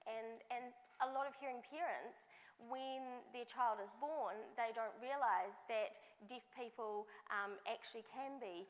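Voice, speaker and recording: A woman voicing a deaf speaker's signed talk as a live interpreter, measured and often restarting or correcting herself mid-phrase. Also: on the high side. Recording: long hall reverb.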